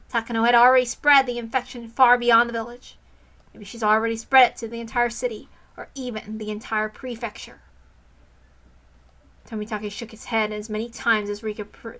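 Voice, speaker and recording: A woman's voice: a little high, pushed and bright. A woman reading a visual novel aloud, informal and self-correcting, mixing narration with casual asides.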